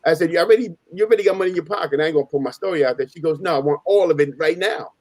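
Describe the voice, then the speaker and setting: Fast-talking, higher-pitched man swapping street stories. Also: darkish tone, no room sound. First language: Spanish. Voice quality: slangy, informal street talk. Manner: emphatic, repeats phrases, acts out quoted dialogue. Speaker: panelist on a live open panel. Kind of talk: casual animated storytelling.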